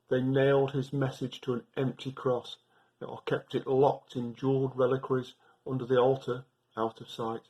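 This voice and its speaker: Man reading poetry aloud, measured phrasing. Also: medium pitch, a little mellow, rough recording. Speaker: poetry reader.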